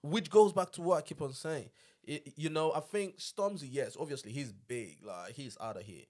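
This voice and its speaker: A man's voice: fairly high pitch, lively intonation, moderate pace, darkish tone. A man, hosting a casual studio chat in an emphatic, slangy way, often repeating himself. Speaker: podcast host. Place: small podcast studio. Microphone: podcast microphone on boom arm.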